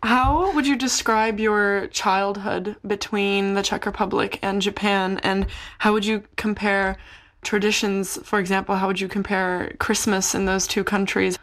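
A woman interviewer posing formal, measured questions from a prepared list. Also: mid-range voice, steady tone, fairly bright tone.

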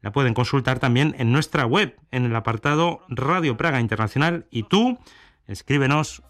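A man hosting an international radio program, presenting with a measured, formal announcer delivery. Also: mid-range voice, fairly bright tone. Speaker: radio host.

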